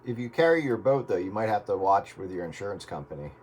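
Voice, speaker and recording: Low-voiced man in casual radio chat. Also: lively intonation, quick pace, darkish tone, a little hiss or hum.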